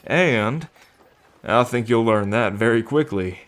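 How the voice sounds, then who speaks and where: A man's voice: medium pitch, expressive, slow pace, fairly bright tone. A man playing a friendly, earnest half-bull man in an ASMR roleplay, talking in a chatty, folksy way. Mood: warm, folksy. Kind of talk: ASMR roleplay, acted speech to the listener.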